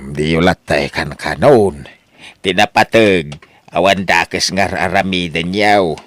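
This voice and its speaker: A man's voice: low-pitched, takes it slowly. A man playing a patient, wise elderly father giving counsel with sighs, in an Ilocano audio drama with an Ilocano accent. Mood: resigned, gentle.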